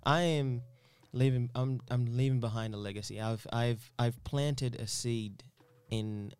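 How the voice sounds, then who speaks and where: A man's voice: medium pitch, measured pace, a little mellow, clean tone, no echo. Guy from uganda rambling in an interview, repeats himself a lot, dry sound. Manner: rambling, repeats words, says "you know" often. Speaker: guest. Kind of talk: casual interview chat.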